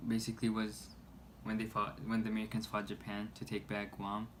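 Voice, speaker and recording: A man reading a scripted news report aloud in a halting way, stumbling over words. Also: fairly low pitch, completely flat delivery. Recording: noisy amateur recording.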